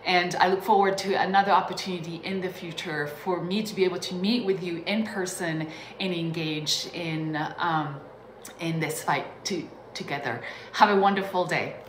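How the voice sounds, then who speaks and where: Woman, earnest, video greeting, webcam, roomy sound, low-ish pitch. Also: normal speed, slight background noise. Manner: earnest, many ums. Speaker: program officer sending a video message. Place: room at home. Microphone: webcam video call style. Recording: roomy video played in hall.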